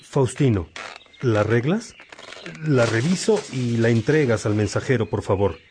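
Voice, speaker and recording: Patient preaching bishop, a man acting him for a radio play, steady middle pitch, teaching tone. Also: a little hiss or hum, close to an everyday voice. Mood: earnest. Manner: calm, measured and instructive.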